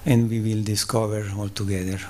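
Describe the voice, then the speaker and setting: A man from southern Switzerland whose first language is Italian, giving a spiritual lecture at a temple in English with an Italian accent. He speaks haltingly, pausing, using fillers and clearing his throat. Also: on the low side, little pitch movement, a little mellow, slight background noise. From Ticino, southern Switzerland. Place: temple hall.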